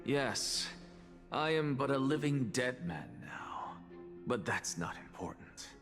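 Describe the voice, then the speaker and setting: Expressive, self-assured, cryptic scholar. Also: male voice, medium pitch. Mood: cool, assured. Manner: theatrical, eloquent, deliberate. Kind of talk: video game voice acting. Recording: music clearly behind the voice.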